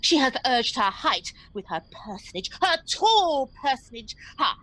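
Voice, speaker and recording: Woman doing a furious little jealous girl, shakespeare, highish voice that jumps all over. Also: very animated, unhurried, no room sound, full character voice, low-quality recording. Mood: jealous fury. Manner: indignant, sharp, theatrical. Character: fierce, petite young woman, jealous and hot-tempered. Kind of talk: amateur Shakespeare radio play acting.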